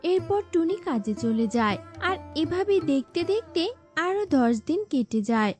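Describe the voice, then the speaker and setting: A woman's voice: sits high, big pitch swings, measured pace, darkish tone, faint music behind. A woman narrator reading a scripted children's cartoon story.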